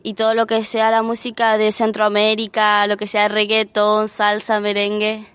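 A teenage girl from Argentina speaks in a smooth, clear voice with an Argentine accent. She chats casually, with a few hesitant restarts, and the sound is dry and close. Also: medium pitch, monotone, normal speed, a bit bright, no room sound. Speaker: exchange student. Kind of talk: casual chat.

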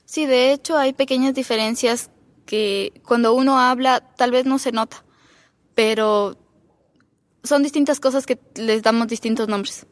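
A teenage exchange student from Ecuador with an Ecuadorian accent, answering thoughtfully and pausing with fillers as she searches for words. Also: on the high side, steady tone, no room sound. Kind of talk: casual chat.

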